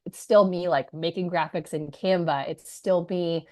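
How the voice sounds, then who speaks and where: A woman in her thirties, hoarse from a cold, telling an audience the story of her launch in a chatty, energetic way with many asides. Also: on the low side, unhurried. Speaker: business coach presenting her launch story. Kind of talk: live presentation to an audience.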